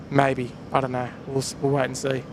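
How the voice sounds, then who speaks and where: A man with an Australian accent hosting a live stream, chatting casually outdoors while thanking viewers. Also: mid-range voice. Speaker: live stream host and plane spotter. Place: outdoors at an airport viewpoint. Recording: outdoor noise with traffic and aircraft.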